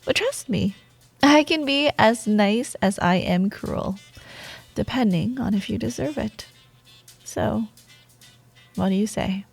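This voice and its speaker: A woman playing a proud, teasing yet wistful rival coworker in an intimate ASMR romance roleplay monologue, with playful banter, sighs and soft pauses. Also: on the high side, gravelly, husky, soft background music, full character voice. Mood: playful, smug. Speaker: audio roleplay performer.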